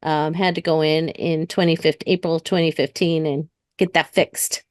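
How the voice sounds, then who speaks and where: American woman in relaxed interview conversation, dry sound. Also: sits low, moderate pace, dry recording. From United States. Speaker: interview guest, retired police dispatcher.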